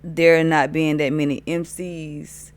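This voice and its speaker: A woman DJ with a deep voice, chatting as a podcast guest in a laid-back, hesitant way. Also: flat delivery, slow pace. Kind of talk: casual interview chat.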